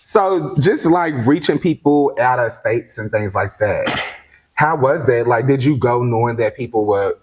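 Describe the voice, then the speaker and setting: Podcast host, woman, husky voice with lively swings, keeps guest going with quick affirmations. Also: extremely low pitch, rough recording. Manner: encouraging, frequent short affirmations. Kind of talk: casual interview chat.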